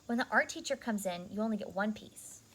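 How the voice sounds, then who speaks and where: A woman's voice: medium pitch, lively intonation, fairly quick, fairly bright tone, slightly rough. A woman voicing a knowing schoolboy older brother in a storybook, speaking matter-of-factly.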